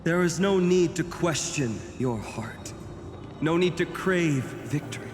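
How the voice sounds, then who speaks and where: A man's voice: on the high side, lively intonation, measured pace. A man voicing a weary, brooding hero in a video game, speaking with earnest, weighty declamation. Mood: rousing, resolute. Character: weary, brooding male hero burning with resolve. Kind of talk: dramatic video game voice acting. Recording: long echoing reverb under loud music.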